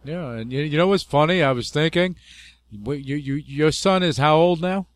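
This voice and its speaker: A man's voice: a little high, normal speed, no room sound. A man hosting an interview, chatty and enthusiastic, laughing often. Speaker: interview host. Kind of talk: casual interview talk.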